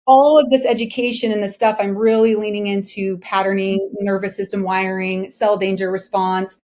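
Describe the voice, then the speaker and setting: A woman's voice: medium pitch, flat delivery, moderate pace, a little mellow. A woman in her forties talking candidly and emphatically as a podcast guest, often checking that listeners agree. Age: 40s. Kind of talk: candid personal conversation.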